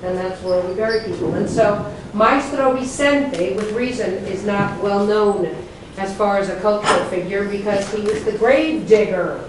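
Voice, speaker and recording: A woman's voice: medium pitch, moderate pace, noisy background. A woman from Connecticut with an American accent, chatting her way through a guided tour as the group's guide. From Connecticut, USA. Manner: chatty, friendly, jokey.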